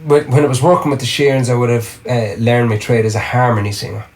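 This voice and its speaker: A man's voice: medium pitch, quick pace. A middle-aged Irish man, a singer and drummer, chatting casually as a podcast guest, rambling with lots of restarts. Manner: rambling, restarts often, animated storytelling. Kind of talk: casual interview chat.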